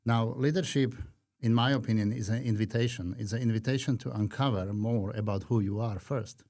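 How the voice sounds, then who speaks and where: A man in his fifties from Slovakia with a Slovak accent, talking earnestly and reflectively as a podcast guest, with frequent pauses. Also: medium pitch, fairly even intonation, a little mellow. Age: 50s. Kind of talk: conversational interview.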